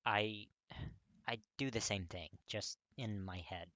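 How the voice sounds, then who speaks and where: A man voicing a gruff, hot-tempered young man awkwardly confessing his feelings in an audiobook, blunt, halting and strained. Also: on the low side, fairly bright tone, no echo, muffled recording. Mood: hesitant confession. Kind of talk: dramatic audiobook character reading.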